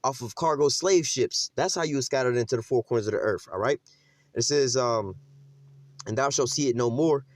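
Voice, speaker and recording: Male street preacher, fast slangy delivery, slows down to read verses, dry close sound. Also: medium pitch, no room sound. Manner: casual slang, frequent "man" and "you know what I'm saying"; reads verses slowly, then explains them. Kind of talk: street-style scripture teaching.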